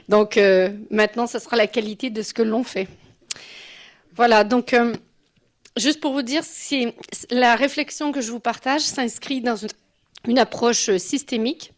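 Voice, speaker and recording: A woman's voice: on the high side, measured pace, pauses often. A mature woman from Quebec gives an informal conference talk as a guest speaker, in a personal and reflective way. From Quebec City, Canada. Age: 50s or older. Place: live talk before an audience.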